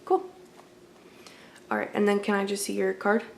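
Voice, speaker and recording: A woman's voice: medium pitch, little pitch movement, moderate pace, creaky, slight background noise. A woman doing an ASMR roleplay as a friendly, accommodating video game store clerk, chatting in a casual, polite and apologetic way. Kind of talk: ASMR roleplay, casual customer-service chat.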